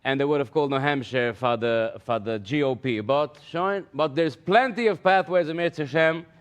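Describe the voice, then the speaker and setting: A man's voice: a little high, normal speed, clean tone. A man with a Yiddish accent, Yiddish his first language, hosting live election coverage in casual English sprinkled with Yiddish words. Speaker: election night host.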